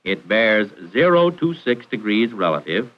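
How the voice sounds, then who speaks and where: Lively-pitched American man, vintage film narrator. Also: measured pace. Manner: formal, precise, instructional. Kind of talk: scripted 1940s training film narration. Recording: dull vintage 1940s film soundtrack.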